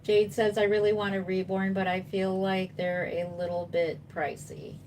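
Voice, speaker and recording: A woman's voice: mid-range voice, level tone, moderate pace, a little mellow, a little hiss or hum. A woman in her fifties, a doll artist chatting casually on a live stream, reading viewer comments and answering them reassuringly while she paints. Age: 50s.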